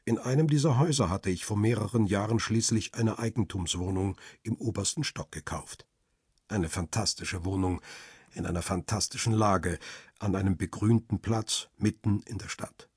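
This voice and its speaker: A middle-aged family man narrates a radio crime drama in a low, very raspy voice. His storytelling is measured and reflective, recorded dry and close. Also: a little mellow, no room sound. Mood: reflective, tender. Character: middle-aged male paparazzo, devoted husband and father, reflective. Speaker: narrator and lead actor.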